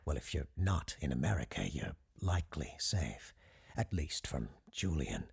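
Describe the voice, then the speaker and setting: Gravelly, halting radio paranormal host. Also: male voice, extremely low pitch, big pitch swings, full character voice. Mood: concerned. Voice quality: gravelly, husky. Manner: halting, stammering, apologetic. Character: flustered, forgetful male parapsychologist hosting a paranormal radio call-in. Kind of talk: audio drama radio show acting.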